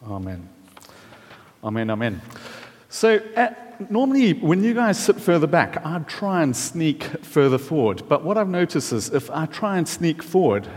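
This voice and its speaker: Preacher, male, South African accent, friendly and chatty, average pitch, reverberant church hall. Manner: chatty, friendly, filler-heavy. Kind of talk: casual sermon with audience questions.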